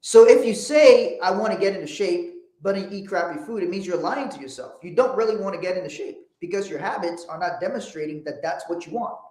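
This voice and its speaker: A man's voice: high voice, animated, brisk, few pauses, darkish tone. Blunt man in an echoey room. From Liberia. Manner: blunt, profane, emphatic. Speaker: host. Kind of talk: motivational livestream talk.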